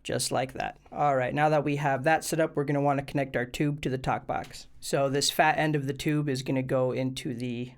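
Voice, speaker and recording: A man's voice: medium pitch, fairly even intonation, brisk, hardly any pauses. A man hosting a tutorial video, explaining things step by step in an informal, plain way. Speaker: host of a music gear tutorial channel. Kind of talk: casual instructional video tutorial.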